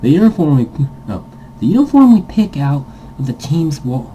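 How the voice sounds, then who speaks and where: Man putting on an excitable, high-pitched young gamer. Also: normal speed, slight background noise, poor audio. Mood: eager, excited.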